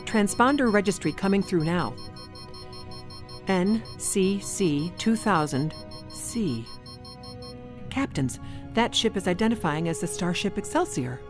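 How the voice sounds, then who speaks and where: A woman's voice: medium pitch, animated, a little slow, pauses often, music in the background, natural voice. A woman playing a cool, logical starship science officer, precise and formal, in an audio drama. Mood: precise, reporting.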